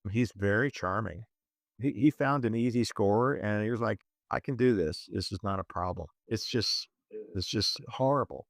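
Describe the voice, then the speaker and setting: A man discussing films conversationally as a podcast host, in a halting, self-correcting way. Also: lowish voice, creaky, no room sound, clean studio sound. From moved to Zambia at eleven, schooled in Kenya.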